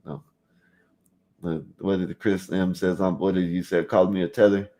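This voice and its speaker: A man's voice: low voice, flat delivery, normal speed, dark tone. A man raised in Florida, hosting a live call-in stream, reading the chat aloud and rambling in loose slang, full of "you know what I mean.". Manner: rambling with restarts, talking straight to viewers.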